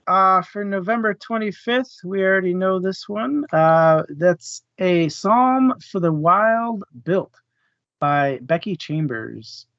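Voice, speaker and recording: A man's voice: sits high, lively intonation, slow pace, fairly bright tone, no room sound. A man co-hosting a book club show, chatting casually about reading. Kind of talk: casual book discussion chat. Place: live online stream. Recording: dull, lo-fi, dry audio.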